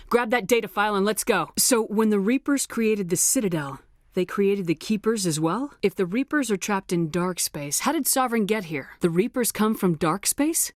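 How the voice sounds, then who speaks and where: A woman's voice: medium pitch, dry recording, clean studio sound, natural voice. A woman playing a determined, commanding military officer in a video game, speaking in a firm, clipped, authoritative way. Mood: serious, questioning. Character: commanding female military officer, steely, determined space soldier. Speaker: voice actor. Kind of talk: video game dialogue acting.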